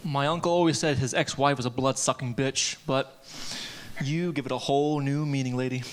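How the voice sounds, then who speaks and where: A man's voice: medium pitch, fairly even intonation, moderate pace, lightly acted. A man reading an impulsive teenage boy who leads his friends, defensive and hot-tempered, at a live table read.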